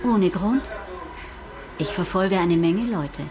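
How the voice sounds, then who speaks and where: An actress playing a curious, impulsive oceanographer in a radio crime drama, musing and self-assured in conversation. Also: female voice, fairly low pitch, slow. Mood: frank, musing.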